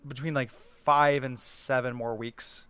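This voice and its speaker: A man with a smooth, clear voice, chatting loosely as a guest on a podcast. He laughs often and fills his talk with likes and ums. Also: medium pitch, fairly even intonation, a little slow, pushed and bright, dry recording. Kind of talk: casual chat. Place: Portland.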